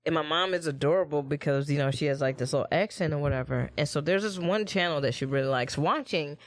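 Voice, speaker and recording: A woman's voice: sits low, quick pace, dry recording. A woman with a Midwestern American accent, co-hosting a casual podcast and telling stories with plenty of swearing. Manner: animated storytelling, frequent swearing. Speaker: podcast co-host. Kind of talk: casual chat.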